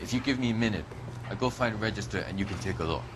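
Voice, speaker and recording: Busy laundry man, played by an actor for a game cutscene: rapid, offhand, curt, noise behind. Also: fairly low pitch, natural voice. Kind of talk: video game cutscene acting. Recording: clear ambient vehicle noise.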